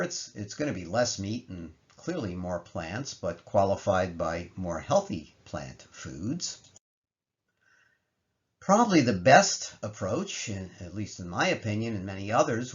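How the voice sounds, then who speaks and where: A man's voice: fairly low pitch, lo-fi sound. A man narrating a slide lecture in plain language, explaining emphatically and posing questions that he then answers. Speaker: lecturer presenting health research.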